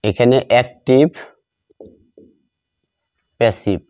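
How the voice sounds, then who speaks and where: A man teaching a grammar lesson, speaking deliberately and repeating phrases as he writes. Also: mid-range voice, warm tone, smooth, no echo. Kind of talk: slow lesson narrated while writing out examples.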